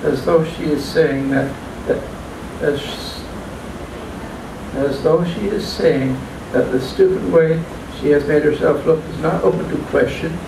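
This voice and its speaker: An older man reading his own poems at an open mic in a small restaurant, halting and plain, stumbling and restarting now and then. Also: a little high, gravelly. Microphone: stand mic, filmed from a far camera. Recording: echoey room, distant mic, background hum.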